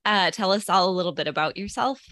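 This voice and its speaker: A woman's voice: on the low side, lively intonation, fast talker, fairly bright tone, no room sound, studio quality. A woman hosting a podcast as a life coach, welcoming her guest and asking interview questions in an upbeat, friendly way.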